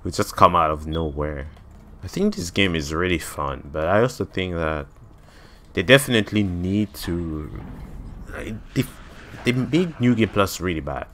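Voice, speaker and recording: Low-voiced young man with a French accent. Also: expressive, unhurried, a bit bright. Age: 20s. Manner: blunt, swears freely. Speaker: gamer. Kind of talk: casual gameplay commentary.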